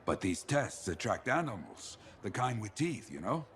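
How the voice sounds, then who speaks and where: A man's voice: lowish voice, big pitch swings, normal speed, a bit bright, slight background noise. A man playing a weathered older retired freelancer in a video game, speaking in clipped phrases with frequent check questions. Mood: weary, wry. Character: gruff, weathered old retired freelancer mentor, male.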